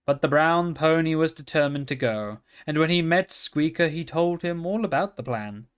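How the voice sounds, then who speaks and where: A man reading a children's storybook aloud in a calm, steady manner. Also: fairly high pitch, normal speed, clear, resonant tone. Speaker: reader.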